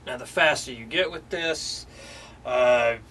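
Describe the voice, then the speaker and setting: Relaxed man teaching guitar, slightly distant. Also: medium pitch, slow. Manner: relaxed, many fillers and restarts. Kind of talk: casual instructional talk. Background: guitar playing.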